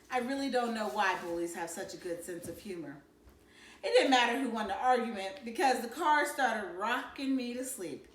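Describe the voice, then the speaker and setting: A woman reading a children's novel aloud in an expressive storytelling style. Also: mid-range voice, reverberant, slight background noise. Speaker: storybook reader.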